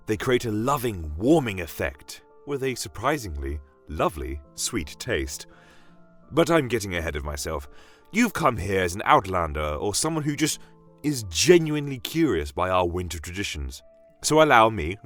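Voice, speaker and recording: Guy acting as a fancy fantasy doctor telling stories, low voice, very theatrical and animated, music behind him. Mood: warm, festive. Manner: formal, florid, theatrical delivery. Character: genial, theatrical fantasy doctor and alchemist, welcoming storyteller. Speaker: in-character narrator. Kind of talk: scripted in-character fantasy storytelling.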